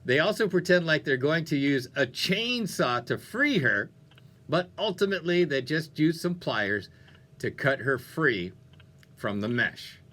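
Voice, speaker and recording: A male radio host with a bright, forward voice pitched a little high for a man. He delivers upbeat music-station patter in a playful, animated and conversational way. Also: unhurried. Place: radio studio.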